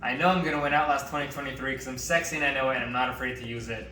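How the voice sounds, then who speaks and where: A playful, cocky man in his twenties from Massachusetts speeds through his intro. His voice is bright and fast, and it sounds roomy and distant. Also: medium pitch, a little hiss or hum. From Massachusetts, USA. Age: 20s. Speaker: reality game contestant. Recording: echoey and distant.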